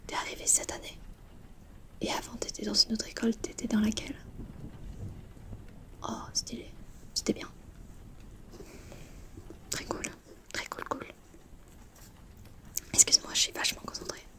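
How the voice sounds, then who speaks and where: A woman plays a teenage wizard-school student in an ASMR roleplay. She whispers softly in a fairly high, breathy voice. She is friendly and chatty, a little distracted, with casual, hesitant slang. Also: flat delivery, measured pace, pauses often, natural voice. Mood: chatty, curious.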